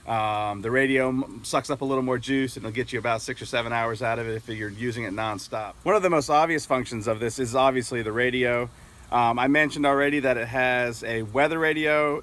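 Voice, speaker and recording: A man's voice: mid-range voice, fairly quick, fairly bright tone. A middle-aged American man talking casually and a little hesitantly as he explains a product outdoors. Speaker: product reviewer. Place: outdoors in an open grassy yard with trees.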